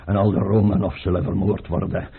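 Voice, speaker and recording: An actor playing a tormented, paranoid Russian tsar in a theatrical radio play, agitated, imperious and repeating himself. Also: male voice, low-pitched, flat delivery, a little fast, creaky, husky, exaggerated voice. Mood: frantic, despairing. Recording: muffled, narrowband old radio play recording.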